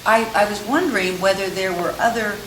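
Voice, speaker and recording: A woman's voice: medium pitch, a little fast. A woman in the audience asking a question at a panel in a hesitant way, with many restarts. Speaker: audience member. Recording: echoey hall, distant, hissy.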